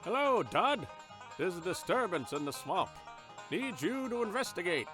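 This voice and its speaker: Smug crooked lawman, folksy, threatening, high-pitched, very animated, radio drama. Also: normal speed, over music. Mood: bullying. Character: crooked, bullying small-town male deputy, folksy and smug. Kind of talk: horror radio play acting.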